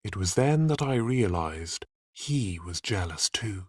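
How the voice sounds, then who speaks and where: A man reading a story aloud in an expressive, deliberate narrating style. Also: lowish voice, slightly rough, no echo, studio quality. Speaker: audiobook narrator.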